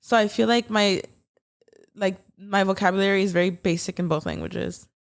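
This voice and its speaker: A woman's voice: mid-range voice, moderate pace, vocal fry. Woman, young adult, podcast co-host, casual chat, chatty, fillers, native Spanish speaker. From United States, Mexican parents. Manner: chatty, swears, many fillers.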